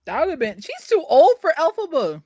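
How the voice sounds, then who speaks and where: Very animated, high-pitched woman. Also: brisk. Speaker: podcast panelist. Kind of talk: casual group chat.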